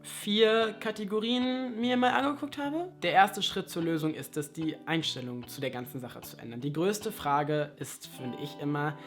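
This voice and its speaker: A man's voice: on the high side, expressive, normal speed, few pauses, a bit bright, faint music behind. A young man talking casually in an advice vlog, chatty and full of rhetorical questions and self-mocking asides. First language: German. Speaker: language vlogger.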